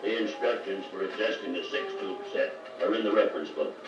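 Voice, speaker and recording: A man's voice: low voice, brisk, close to an everyday voice. An actor playing a folksy, confident American car mechanic with plain working-man speech in a 1950s training filmstrip. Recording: muffled, echoey 1950 record, distant, music behind.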